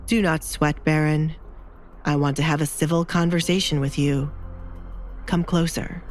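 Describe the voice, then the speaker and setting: A woman playing a cold, commanding duke in an audio drama, speaking slowly and deliberately with calm menace. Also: low voice, soft background music. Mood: cool command. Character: cold, menacing young duke, calmly threatening man.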